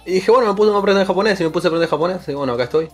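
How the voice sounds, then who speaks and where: A young Argentine man chats quickly and playfully, his voice a bit higher than most men's. Faint music runs behind him. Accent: Argentine Spanish. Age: young adult. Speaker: kanji teacher. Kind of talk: casual group chat.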